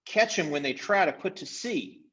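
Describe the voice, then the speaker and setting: Man acting a bossy creature chief, pitched up. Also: expressive, normal speed, fairly bright tone. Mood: rallying. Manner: commanding, folksy. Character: invisible creature chief, bossy rallying gang leader.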